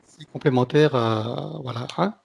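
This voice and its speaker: A man working as an energy healer, talking casually and somewhat haltingly as he takes down a client's details. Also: medium pitch, gravelly. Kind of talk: casual client intake conversation. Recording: faint background noise.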